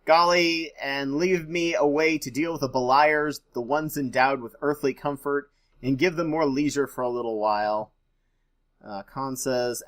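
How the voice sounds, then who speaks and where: A man reading aloud and adding casual, skeptical and irreverent off-the-cuff commentary. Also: medium pitch. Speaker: host reading and commenting on scripture.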